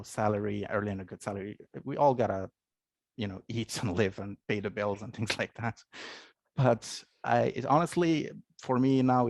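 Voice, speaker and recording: Gravelly low-voiced Moroccan man. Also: darkish tone, no echo. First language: not English; English is his third language. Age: 30s. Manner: thoughtful, many fillers and restarts, laughs often. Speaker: guest, English teacher. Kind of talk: casual interview.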